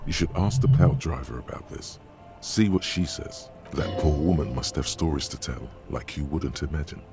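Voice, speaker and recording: An actor playing a brooding, cryptic inner voice in a detective video game, solemn, deliberate and terse. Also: male voice, a bass voice, music in the background. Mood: urging.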